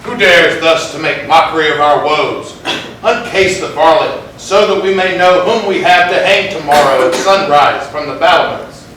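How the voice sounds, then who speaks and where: A man's voice: on the high side, normal speed, lightly acted. A man playing a bold, robust prince in an imperious rage, declaiming in a theatrical stage reading. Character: bold, robust, enraged prince demanding obedience. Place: indoor theatre, far from the mic. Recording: roomy stage echo, distant mic, faint noise.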